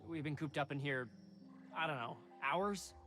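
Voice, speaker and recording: Jittery, higher-voiced male scientist, music behind. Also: normal speed, bright, forceful tone. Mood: uneasy, rambling. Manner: jittery, hesitant, stammering. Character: nervous, rambling male lab scientist hiding from monsters. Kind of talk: video game dialogue acting.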